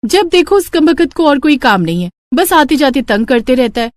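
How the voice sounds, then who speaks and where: A woman voicing a spirited young village daughter and bride in an animated, expressive storybook style. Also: high-pitched, moderate pace, pitched above the performer's own voice. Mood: annoyed.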